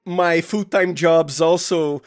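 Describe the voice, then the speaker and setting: A man's voice: fairly high pitch, steady tone, takes it slowly. A man with a Brazilian accent, speaking casually as an interview guest in a hesitant, stop-start, giggly way. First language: Portuguese. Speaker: podcast guest, sound designer.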